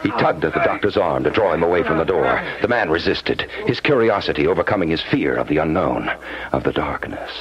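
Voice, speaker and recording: Deep, ominous male horror narrator. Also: expressive, a crowd in the background. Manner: ominous, theatrical, deliberate. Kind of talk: dramatic horror radio narration. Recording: muffled 1970s radio broadcast with loud music.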